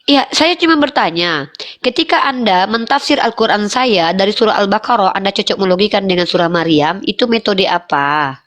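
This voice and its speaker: A woman hosting a heated live debate, repeating her questions with open exasperation and lecturing in a firm, deliberate way. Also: mid-range voice, lively intonation, fairly bright tone. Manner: exasperated, presses the same question again and again. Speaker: debate host.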